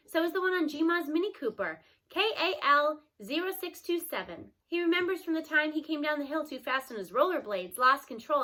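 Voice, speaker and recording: A woman reading a novel aloud, expressive, with lively shifts in tone. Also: high-pitched. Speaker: reader.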